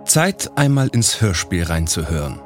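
A man presenting a special feature in calm scripted narration. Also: low voice, measured pace, faint music behind.

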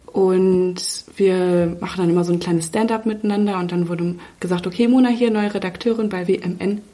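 A woman's voice: lowish voice, fairly even intonation, fairly quick, dark tone. A 26-year-old woman from western Germany chatting casually as a podcast co-host, slangy and fond of acting out dialogue in her anecdotes. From Germany, Münster in western Germany. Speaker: podcast co-host, online editor. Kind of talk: casual chat, animated anecdotes.

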